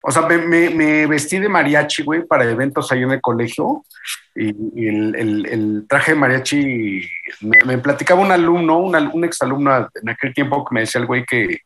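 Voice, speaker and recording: A man with a Mexican Spanish accent in casual chat on a video call, talking in heavy slang and swearing, and acting out other people's words in lively voices. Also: medium pitch, moderate pace.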